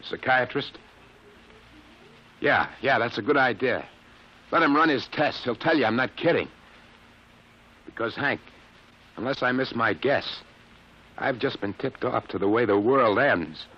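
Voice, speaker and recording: Man playing earnest young test pilot, shaken, halting, pleading, mid-range pitch, dull old radio broadcast sound. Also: lively intonation, a little hiss or hum, close to an everyday voice. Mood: insistent. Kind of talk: old-time radio drama acting.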